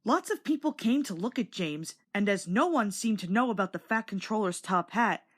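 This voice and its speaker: Animated woman narrating a children's storybook. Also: mid-range voice, moderate pace. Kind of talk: scripted children's read-along narration.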